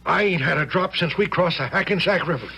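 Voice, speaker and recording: An actor playing a frightened rustic sergeant of the American Revolution in a radio drama, with halting, folksy speech and a raspy voice. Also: male voice, on the high side, sharp tone, exaggerated voice. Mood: shaken, earnest. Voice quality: raspy, rough voice. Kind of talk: vintage-style radio drama acting.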